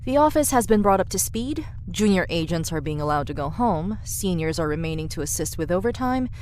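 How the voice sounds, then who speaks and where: A woman's voice: fairly low pitch, expressive, a little fast, darkish tone, a little hiss or hum, close to an everyday voice. A woman playing a poised, devoted assistant in an audio drama, speaking formally and precisely with dry deference. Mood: composed, deferential. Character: poised, devoted female assistant, formerly a pirate.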